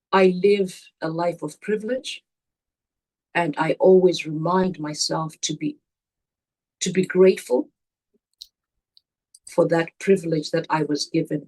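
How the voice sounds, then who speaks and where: An older woman, answering interview questions slowly and reflectively, pausing and restarting as she thinks. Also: low-pitched, breathy edge, poor audio. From Zambia. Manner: slow, deliberate, with pauses and restarts. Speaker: guest.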